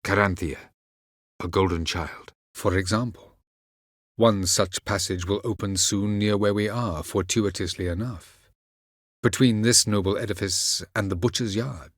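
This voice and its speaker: An old elf sage for a fantasy game, deep voice, super dry recording, snooty and cold, very measured and formal. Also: male voice, steady tone, fairly bright tone, dry recording. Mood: solemn, explanatory. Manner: measured, formal, haughty delivery. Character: ancient male elven sage, aloof, condescending, coldly calculating. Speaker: video game character voice actor. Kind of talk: scripted video game dialogue.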